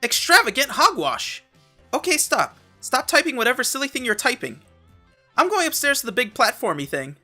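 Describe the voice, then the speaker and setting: An excitable young boy from a webcomic dub, played by a woman. The voice is high and bright, with an exasperated, exclamatory delivery. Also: normal speed, soft background music, full character voice. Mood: impatient. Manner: exclamatory, flustered. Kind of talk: fan comic dub acting.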